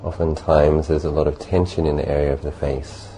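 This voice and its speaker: A man leading a guided meditation as a teacher, speaking gently and unhurriedly in short phrases with long pauses. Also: very deep voice, flat delivery. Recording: faint background noise.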